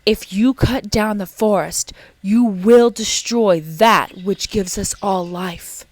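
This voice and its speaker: A knowing porcupine from a storybook, voiced by a woman reader. It asks and cautions with slow emphasis. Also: medium pitch. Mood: emphatic.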